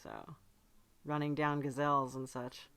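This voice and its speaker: A woman hosting a podcast in casual chat, with a dry, playful deadpan humour. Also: rumbling low, measured pace, clean tone. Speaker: podcast host.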